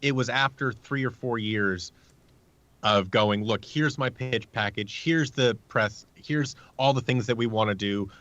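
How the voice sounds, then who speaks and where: A man's voice: mid-range voice, a little slow, a bit bright, no room sound, dull audio. A man talking casually as an interview guest, explaining at length and acting out bits of conversation. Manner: explanatory, acts out short quoted exchanges. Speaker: guest, audio drama creator.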